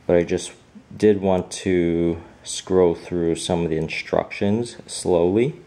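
A man's voice: deep voice, fairly even intonation, slow, warm tone. A man walking through a printed manual off camera, talking in a hesitant way with many fillers and restarts. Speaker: home fixer-upper showing a manual. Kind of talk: casual off-camera walkthrough.

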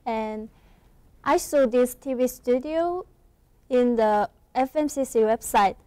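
A young woman from South Korea speaking English with a Korean accent, answering questions as a student guest in a small college TV studio. She talks in halting, simple phrases and laughs softly often. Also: on the high side, clean tone, no echo. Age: young adult. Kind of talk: studio interview. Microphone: lapel mic.

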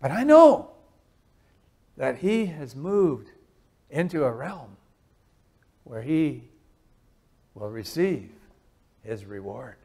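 An older man in his sixties preaching a sermon from a lectern in a small chapel, moving between loud emphasis and soft, hushed lines while gesturing with his hands. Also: medium pitch, slow pace, pauses often, husky. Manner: animated preaching, wide swings from loud emphasis to soft hush. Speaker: pastor.

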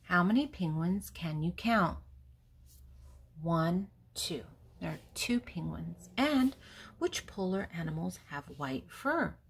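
An older woman with a lowish, husky voice reads to small children. Her delivery is deliberate and teacherly, swinging widely in pitch as she names things and poses simple questions. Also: expressive. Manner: deliberate, teacherly, pausing between words. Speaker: host reading a children's picture book aloud. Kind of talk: read-aloud for young children, with questions and answers.

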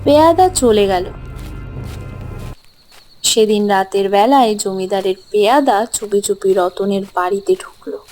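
A woman's voice: medium pitch, unhurried, pauses often, darkish tone. A woman narrating a scripted children's fairy tale as the storyteller. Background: music.

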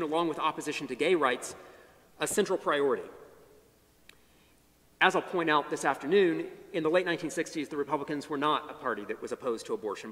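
A middle-aged man giving an academic history lecture from a podium on a large chapel stage, measured, with emphatic phrase openings that fall to low endings. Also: a bit bright. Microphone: podium mic. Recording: long hall reverb.

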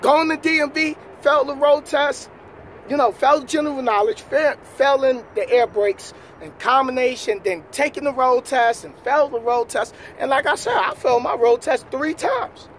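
A man's voice: very high-pitched, moderate pace. A man giving an emphatic, slangy motivational chat as a vlog host, repeating his points. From New York City. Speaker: trucker vlogger, host. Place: outdoors beside a parked semi truck. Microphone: camera at arm's length. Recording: loud outdoor wind and vehicle noise.

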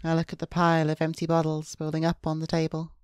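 A woman's voice: low-pitched, completely flat delivery, fast talker, a little mellow, clear, resonant tone, dry recording, studio quality. A woman reading a visual novel's first-person narration aloud as a VTuber. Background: music.

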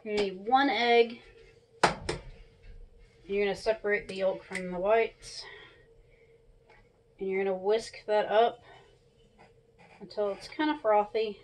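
A woman's voice: mid-range voice, fairly even intonation, a little slow, long pauses, low-quality recording. Chatty woman over noticeable background noise. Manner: chatty, instructional. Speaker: home cooking vlogger. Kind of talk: casual cooking tutorial. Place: small home kitchen, indoors. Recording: clear background noise and clicks.

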